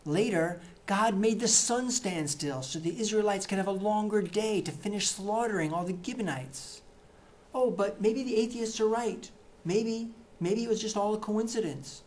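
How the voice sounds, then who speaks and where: A middle-aged man reading satirical excerpts to camera in a deadpan, mock-earnest way, in a plain indoor room. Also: sits high, normal speed. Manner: mock-earnest, punchy, with gestures. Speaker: reader of satirical book excerpts.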